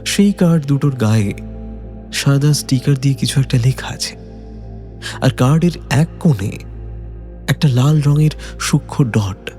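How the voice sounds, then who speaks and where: A man's voice: mid-range voice, expressive, measured pace, long pauses, dark tone, faint music behind. A man narrating a detective audio story from a script in a dramatic style.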